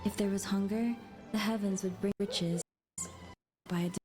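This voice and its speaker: A woman tells a scripted tale in a formal, solemn narrator's style. Her voice sits at an average pitch. Music plays clearly behind her throughout. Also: steady tone, normal speed. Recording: music under narration, played from video.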